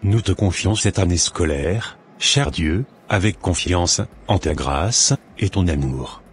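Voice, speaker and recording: Very deep-voiced, earnest devout schoolboy son, played by a man. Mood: reverent, solemn. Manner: earnest, reverent, measured. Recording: noticeable background noise.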